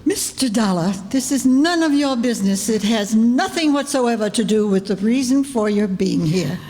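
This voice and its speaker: Actress as rich old dowager aunt: haughty, bossy, indignant, animated radio-play delivery, live hall echo. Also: female voice, a little high, moderate pace, lightly acted. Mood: stern, haughty. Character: imperious, possessive wealthy old dowager aunt. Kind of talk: old-time radio drama acting. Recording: echoey hall stage, background noise.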